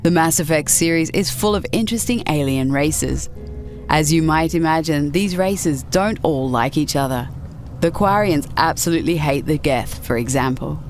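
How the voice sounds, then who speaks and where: Low-pitched woman narrating over loud music. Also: normal speed. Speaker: narrator of a video game list show. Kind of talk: scripted narration.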